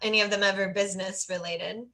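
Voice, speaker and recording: A woman's voice: mid-range voice, fairly even intonation, fast, sharp tone. A woman hosting a casual video call interview, encouraging her guest with frequent short reactions. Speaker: host.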